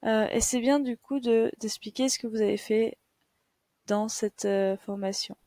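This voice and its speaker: A woman's voice: a little high, steady tone, unhurried, mellow, dry recording, studio quality. A young woman giving a patient, step-by-step screen tutorial as a teacher, with many fillers. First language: French. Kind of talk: screen-share tutorial lesson.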